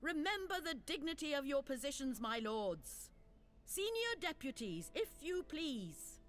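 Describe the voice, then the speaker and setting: Slow, commanding high-voiced council protector. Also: female voice, big pitch swings, a bit bright, soft background music, heavily acted. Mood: stern, commanding. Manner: formal, commanding. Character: stern, dignified female council protector presiding. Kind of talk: fantasy video game voice acting.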